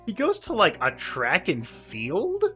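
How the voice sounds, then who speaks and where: A man's voice: sits high, huge pitch swings, slow pace, a bit bright. Sardonic man podcasting over faint music. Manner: profane, sardonic descriptions. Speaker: podcast co-host. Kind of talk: casual episode recap chat.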